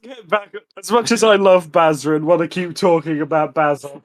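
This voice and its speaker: A man with a slightly higher voice hosts a podcast in casual chat. He giggles often as he talks. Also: normal speed. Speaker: podcast host.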